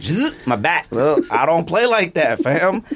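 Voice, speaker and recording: A man's voice: fairly high pitch, measured pace, a little hiss or hum. A middle-aged man hosting a podcast, chatting casually and laughing as he keeps insisting he is not joking. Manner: animated, laughing storyteller, repeats "I'm not even joking". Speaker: podcast host. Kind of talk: casual chat with ad read.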